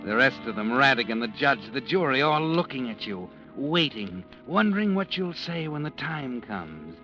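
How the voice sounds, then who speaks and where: Man, mid-pitched, ominous measured narration, radio mystery, music behind. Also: sing-song, bright, forceful tone, highs cut off. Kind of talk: second-person scripted narration.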